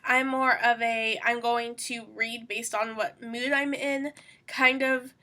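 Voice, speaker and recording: A woman's voice: a little high, level tone, takes it slowly, bright, forceful tone. A young woman with a stuffy, congested voice from a cold, chatting casually to her channel about her reading plans, rambling with lots of fillers. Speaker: booktuber host. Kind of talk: casual chat to camera.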